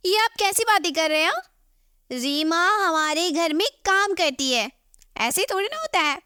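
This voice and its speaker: A woman voicing a bossy, quick-tempered young daughter-in-law in an animated story, with a dramatic delivery. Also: very high-pitched, heavily acted. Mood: protective. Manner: indignant, dramatic. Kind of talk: Hindi animated moral story voice acting.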